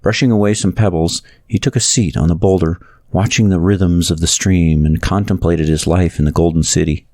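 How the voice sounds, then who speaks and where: A man's voice: deep voice, fairly even intonation, moderate pace, few pauses, a little mellow, no echo, studio quality. A man reading a novel aloud as a scripted audiobook narrator.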